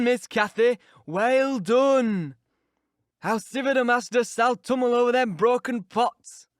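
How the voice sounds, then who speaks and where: A man's voice: high voice, a bit bright, no room sound, studio quality, pitched above the performer's own voice. A man voicing a grumbling, pious old male servant with a broad Yorkshire dialect and a rustic drawl, scolding as he talks. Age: older.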